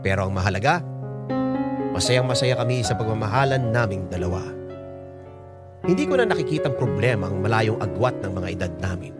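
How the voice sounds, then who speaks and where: A man's voice: fairly low pitch, lively intonation, fairly quick, pauses often, lightly acted. A man acting an older lover who confesses a forbidden love in an earnest, reflective Filipino-accented radio drama narration. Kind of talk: first-person letter narration in radio drama. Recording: loud piano music under voice. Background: loud piano music.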